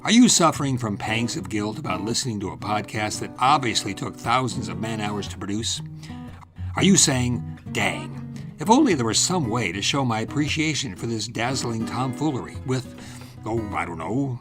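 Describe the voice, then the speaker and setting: A man's voice: mid-range voice, animated, normal speed, over music. A man hosting and narrating a scripted drama podcast in a theatrical, playful, tongue-in-cheek way.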